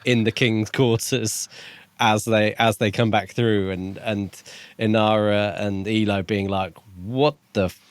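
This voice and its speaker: A man's voice: fairly low pitch, fairly even intonation, unhurried, clean tone, dry recording. A man co-hosting a book podcast, talking through his opinions in casual discussion.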